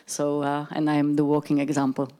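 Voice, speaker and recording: A woman from Slovakia with a Slovak accent tells her career story on a panel in measured, deliberate phrases. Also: sits low, completely flat delivery, dark tone. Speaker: corporate executive, panel speaker.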